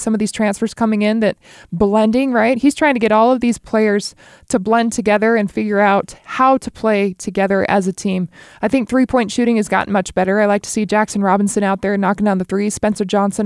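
Gravelly-voiced woman analyzing basketball. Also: medium pitch, a little mellow, dry recording, studio quality. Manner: confident, explanatory, asks "right?" often. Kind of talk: sports analysis talk.

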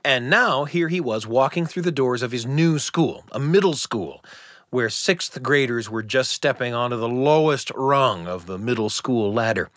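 A middle-aged man hosting a radio show, telling a personal family story in a gentle, reflective, earnest way. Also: medium pitch, no room sound, studio quality.

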